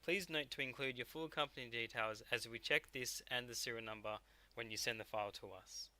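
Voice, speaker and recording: A man narrating a software tutorial step by step as a technical instructor. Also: medium pitch, fairly even intonation, a little fast.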